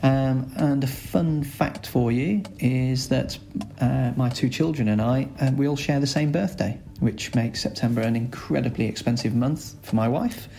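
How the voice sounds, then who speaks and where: A man with a Lancashire accent, a school's director of sport, answering podcast interview questions in a chatty, rambling way with many filler pauses. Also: medium pitch, creaky, mellow, low-quality recording. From Lancashire, England.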